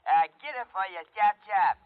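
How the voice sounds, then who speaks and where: An actor playing a jovial, dim police desk sergeant, chummy and clownish, in a 1940s radio drama. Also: male voice, high voice, animated, normal speed. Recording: tinny, narrow vintage radio recording.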